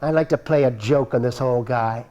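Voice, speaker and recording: A man voicing Jack, a cheeky young prankster hero in a live puppet show, in a low, mischievous, musing voice. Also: moderate pace, muffled recording, natural voice. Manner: mischievous, musing, chuckling to himself.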